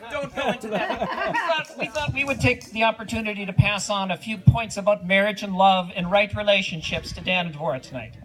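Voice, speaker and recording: A man's voice: high-pitched, a little fast, hardly any pauses, fairly bright tone. A man playing Lars, a folksy Norwegian-American from North Dakota, telling marriage jokes with an Upper Midwest accent. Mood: deadpan. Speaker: wedding entertainer. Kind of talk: comic duo routine at a wedding.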